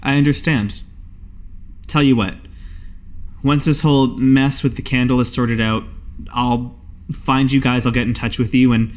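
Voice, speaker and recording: A man's voice: medium pitch, fairly even intonation, a little slow, pauses often, darkish tone, natural voice. A man playing a shy, lonely young siren wizard in a tabletop game, talking in a casual, hesitant way. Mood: reassuring.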